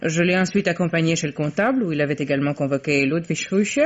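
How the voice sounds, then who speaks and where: Poised female private secretary: crisp, guarded, formal, old radio drama on muffled tape. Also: sits low, quick pace. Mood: cool, guarded. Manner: crisp, curt, formal replies. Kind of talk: vintage French radio mystery acting. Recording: dull, vintage radio recording.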